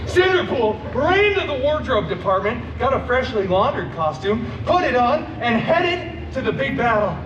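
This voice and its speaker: High-pitched, flamboyant masked antihero storyteller. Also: male voice, very animated, moderate pace, few pauses, lo-fi sound, background noise. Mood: melodramatic. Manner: sarcastic, melodramatic, chatty asides. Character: flamboyant, cheeky masked antihero telling a fairy tale. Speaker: theme park character performer. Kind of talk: theatrical live storytelling to an audience. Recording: echoey distant mic, loud music behind.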